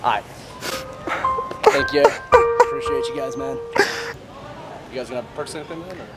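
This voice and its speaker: A man's voice: mid-range voice, moderate pace, over loud music, rough recording. A man in his thirties talking casually as a store manager, easygoing but firm, caught on a camera a few feet away in a store. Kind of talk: casual workplace talk. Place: large retail store aisle.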